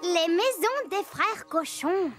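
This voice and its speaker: A woman voicing an excitable, cheerful little girl who narrates stories in a children's cartoon, chatty and exclamatory. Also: very high voice, slow, music in the background, exaggerated voice. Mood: storytelling, animated.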